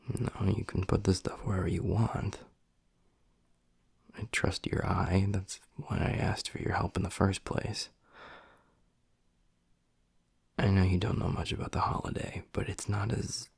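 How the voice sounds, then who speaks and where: A man voicing a gruff but tender young werewolf boyfriend in an intimate audio roleplay, husky and breathy, speaking low and haltingly. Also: no echo, exaggerated voice. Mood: reassuring. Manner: low, halting, half-whispered delivery.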